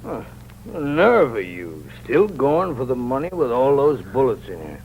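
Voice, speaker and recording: Figure: shrewd sheriff. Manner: drawling, wry. Pitch: lively. Pace: slow. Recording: dull, hissy old radio. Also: male voice. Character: shrewd male sheriff, calm and knowing. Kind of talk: 1950s radio drama acting.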